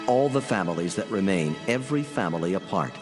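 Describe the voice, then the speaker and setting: An actor playing a mighty, solemn God of scripture, declaiming with grave weight in a dramatized reading. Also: male voice, low voice, lively intonation, brisk. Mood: grave, mournful. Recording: long cavernous reverb. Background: music.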